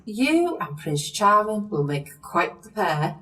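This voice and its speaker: Fairy godmother for audio panto, female actor: cheery, no-nonsense, theatrical, unhurried delivery, slightly off-mic. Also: medium pitch, big pitch swings, a bit bright, distant mic. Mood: kindly, encouraging. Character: brisk, cheery fairy godmother who often talks in rhyme.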